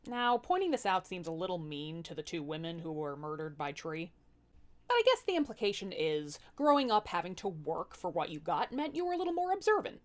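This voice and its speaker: A woman narrating a folktale comically, with a wry, irreverent manner and slangy asides. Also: medium pitch. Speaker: storyteller, series host.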